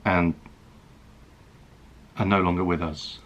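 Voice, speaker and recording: A man in his thirties from the UK speaks with a very low, deep voice. He talks earnestly and reflectively to camera in a personal vlog. His delivery is halting, full of restarts and "you know". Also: steady tone, slight background noise. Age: 30s. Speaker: food and travel vlogger.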